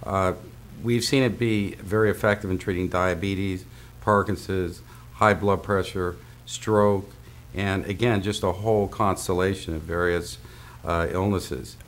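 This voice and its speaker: A man giving an unscripted interview testimonial as a spokesman, speaking haltingly. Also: low voice, fairly even intonation.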